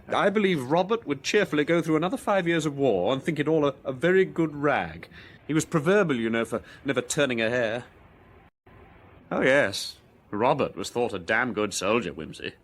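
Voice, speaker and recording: An actor playing a bitter, nervy war veteran gentleman in a radio drama, with an upper-class English accent and excitable public-school slang. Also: mid-range voice, moderate pace, lightly acted. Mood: sardonic.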